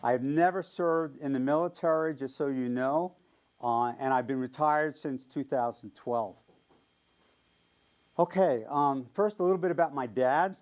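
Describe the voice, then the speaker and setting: A man's voice: mid-range voice, measured pace, darkish tone. Older man with a New York accent. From New York area. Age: 70s. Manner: friendly, chatty, frequent ums and light laughs. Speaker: guest speaker. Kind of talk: casual public talk.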